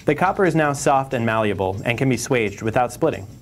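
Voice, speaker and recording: Guy doing a how-to tool voiceover, mid pitch, some music behind. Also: expressive, a little fast. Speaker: company product presenter. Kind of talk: scripted instructional narration.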